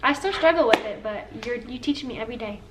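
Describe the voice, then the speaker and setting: A woman's voice: fairly high pitch, expressive, fast. A young woman co-hosting a casual Q&A with her boyfriend, chatty and earnest, often saying "like.". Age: young adult. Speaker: co-host. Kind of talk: casual couple Q&A chat. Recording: slightly distant with faint room noise.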